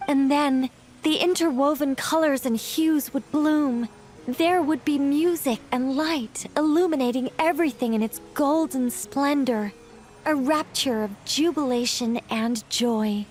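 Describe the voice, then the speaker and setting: High-voiced, lyrical angelic witch. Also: a little slow, a little mellow, soft background music. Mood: nostalgic, proud. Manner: lyrical, polite, self-assured storytelling. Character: gentle, theatrical former-angel witch, proud storyteller, young-sounding woman. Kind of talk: video game voice acting.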